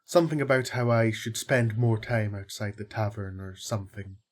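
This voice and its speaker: A man playing a friendly, concerned tavern worker in an audio drama, with a husky voice and a casual, earnest manner. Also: on the low side, normal speed, close to an everyday voice. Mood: casual, curious. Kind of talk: audio roleplay acting.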